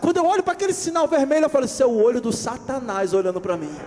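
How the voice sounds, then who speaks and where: A man from Rio de Janeiro with a Carioca accent, preaching a lively sermon to his congregation, acting out everyday scenes and asking for amens. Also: extremely high pitch, very fast, in a large hall, slight background noise. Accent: Carioca Brazilian Portuguese. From Rio de Janeiro, Brazil. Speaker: pastor. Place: church hall.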